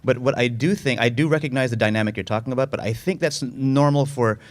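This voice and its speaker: A man's voice: medium pitch, quick pace. A man answering audience questions as a film director, casual and rambling, often restarting and repeating words. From United States.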